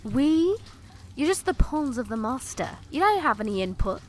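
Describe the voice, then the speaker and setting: Woman acting scornful female captive, highish voice, cutting, defiant, audio drama, slight background noise. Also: big pitch swings, moderate pace.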